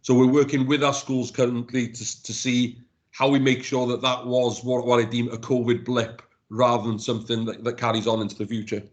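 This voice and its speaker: Halting man presenting. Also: mid-range voice, little pitch movement. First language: Welsh. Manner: halting, many repeated words and restarts. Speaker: council education officer. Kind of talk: presenting slides to a council panel. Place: council meeting room.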